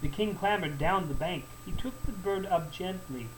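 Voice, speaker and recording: A man narrating a folktale as a storyteller, in an expressive, deliberate and emphatic way. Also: high-pitched, rough recording. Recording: faint background noise.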